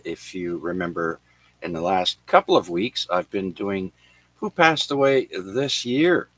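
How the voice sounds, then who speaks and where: A man hosting an amateur radio net, reading notes on who was born and who died on this day, with many filler pauses. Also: low voice, a bit bright, husky, no room sound, poor audio. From Canada. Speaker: radio net control host. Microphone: radio transceiver microphone.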